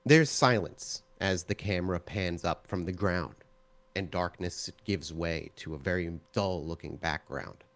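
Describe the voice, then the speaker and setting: Low-voiced man as game master. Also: unhurried, fairly bright tone. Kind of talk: descriptive narration of a tabletop roleplaying game.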